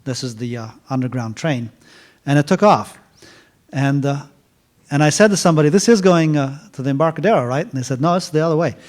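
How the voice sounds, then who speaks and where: A middle-aged man telling a story casually at a university event, halting with many fillers and restarts. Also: on the high side, lively intonation, a little mellow. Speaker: author speaking at a university event.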